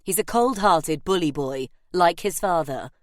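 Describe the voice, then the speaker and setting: A woman voicing a proud, scowling young gentleman in an audiobook, with a gruff, curt, snappish manner. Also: fairly low pitch, animated, measured pace, no room sound, clean studio sound. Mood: curt, scornful. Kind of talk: audiobook character acting.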